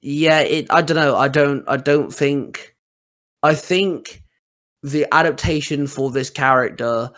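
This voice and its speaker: A man's voice: fairly high pitch, slow pace, fairly bright tone. A man hosting a podcast, chatting casually as he asks questions and comments. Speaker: podcast host.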